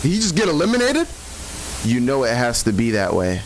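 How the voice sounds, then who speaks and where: A man's voice: mid-range voice, wildly swooping pitch, a little fast, noisy background. A man giving casual, profane reaction commentary, incredulous and joking, slangy and repeating himself for emphasis. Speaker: reaction video host.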